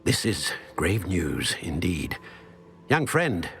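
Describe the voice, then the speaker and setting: Slow, grave ancient keeper. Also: male voice, low-pitched, big pitch swings, a bit bright, full character voice. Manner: grave, measured, formal. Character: solemn ancient male keeper of secrets.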